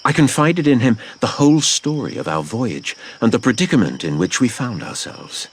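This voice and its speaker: A man's voice: mid-range voice, normal speed, breathy edge. A man playing a grown adventurer narrating his boyhood tale formally in an audio drama. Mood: reflective. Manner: formal, literary, expressive. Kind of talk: dramatised first-person narration.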